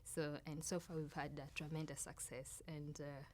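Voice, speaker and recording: A woman with a Kenyan accent explaining her work in an interview, halting and deliberate with pauses. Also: low voice, level tone, a little mellow, slight background noise. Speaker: astronomer and outreach project leader. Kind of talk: interview talk about own work.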